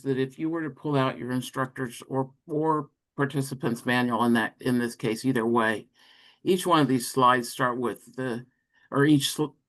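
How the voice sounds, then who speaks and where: Halting man walking through slides. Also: mid-range voice, a bit bright, low-quality recording. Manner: halting, self-correcting. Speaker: presenter on an educators' call. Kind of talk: informal slide walkthrough.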